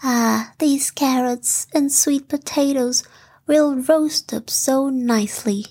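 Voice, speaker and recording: A woman's voice: high-pitched, takes it slowly, dark tone, slightly rough, voice pushed up, exaggerated voice. A woman voicing a sweet, appreciative female storybook bear who muses happily to herself as she cooks. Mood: pleased.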